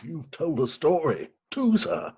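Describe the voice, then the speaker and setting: A man's voice: on the high side, sing-song, measured pace, creaky, warm tone, slightly rough, heavily acted. A man playing a fussy, dithering middle-aged British magistrate and indulgent stepfather in a stage farce. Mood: flustered. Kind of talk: theatrical staged reading of Victorian farce. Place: home, recorded remotely.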